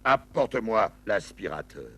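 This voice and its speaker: An actor playing a stern, fussy but patient cartoon master, explaining things deliberately. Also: male voice, on the low side, sing-song, a bit bright, slight background noise, full character voice. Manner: deliberate, instructive. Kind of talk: cartoon acting.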